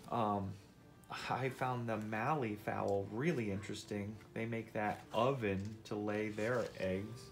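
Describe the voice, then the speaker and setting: A man's voice: on the low side, fairly even intonation, slow pace, soft background music. A middle-aged man doing an interactive read-aloud at home, explaining and prompting with questions as a parent teaching. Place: indoors at home on a couch.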